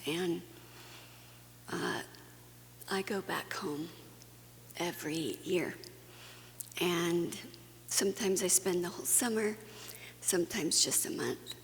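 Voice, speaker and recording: Slow-talking woman from Guatemala in a hall. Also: fairly low pitch. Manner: earnest, conversational, with frequent fillers and restarts. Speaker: conference speaker. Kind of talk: plenary talk to a faith audience. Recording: long hall reverb, faint hum.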